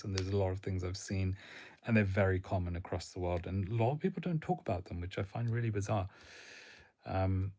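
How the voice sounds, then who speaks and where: A man who gives career advice, chatting casually on a live stream in a monotone, rambling way with fillers. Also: low-pitched. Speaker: career coach.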